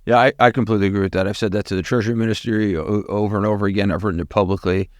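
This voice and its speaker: A man hosting a podcast interview, asking questions in a relaxed, friendly way. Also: on the low side, racing, no room sound, clean studio sound. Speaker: podcast host, investor. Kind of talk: casual interview talk.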